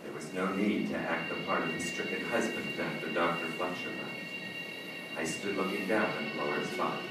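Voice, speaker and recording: A man's voice: low-pitched, steady tone, normal speed, loud music behind the voice, close to an everyday voice. Man playing a cold, scheming judge in echoey hall. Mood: cold, calculating. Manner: earnest, melodramatic. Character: scheming, cold-blooded married male judge confessing murder. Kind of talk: old-time radio acting and narration. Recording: echoey hall from far off, noisy.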